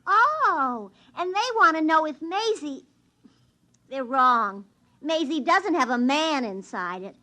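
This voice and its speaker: Put-upon young female sidekick: pouty, high, swooping voice, fifties radio style. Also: lively intonation, slow pace, pushed and bright, exaggerated voice. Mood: puzzled. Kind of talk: 1950s radio drama acting.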